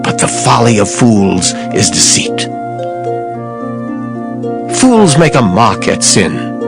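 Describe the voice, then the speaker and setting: A man giving a dramatized scripture reading in a measured, declamatory way. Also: lowish voice, very animated, vocal fry. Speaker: scripture reader. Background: background music.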